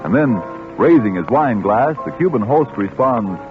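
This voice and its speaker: A man's voice: medium pitch, animated, moderate pace, over music. An American man reading a polished, formal radio commercial in 1940s announcer style, on an old broadcast recording. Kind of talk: 1940s radio advertising read. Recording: tinny, band-limited 1940s radio recording.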